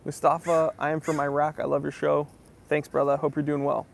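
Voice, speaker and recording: A man's voice: on the high side, monotone, fairly quick, gravelly. A man from New England hosting a casual livestream Q&A. He reads viewer comments aloud and answers them in a friendly, easygoing way. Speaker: host of a watch channel.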